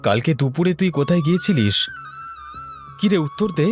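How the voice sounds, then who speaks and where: A man's voice: fairly high pitch, big pitch swings, moderate pace. A man voicing a poor, weary village farmer and father in a cartoon drama, plaintive and earnest. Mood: scolding. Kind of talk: Bengali cartoon drama dubbing. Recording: music under the voice.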